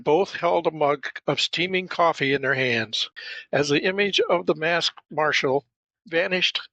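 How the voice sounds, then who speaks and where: A man's voice: a little high, sharp tone, no room sound, lo-fi sound. A man reading scripted narration for an audio drama as its storyteller.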